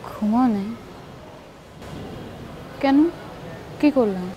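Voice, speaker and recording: A woman's voice: mid-range voice, very slow, warm tone, a little hiss or hum, poor audio. A woman with a Bangladeshi Bengali accent playing a teasing, sceptical young girlfriend in a TV drama, coy and chiding as she questions. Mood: sleepy, teasing. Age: young adult. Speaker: actor. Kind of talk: naturalistic TV drama dialogue.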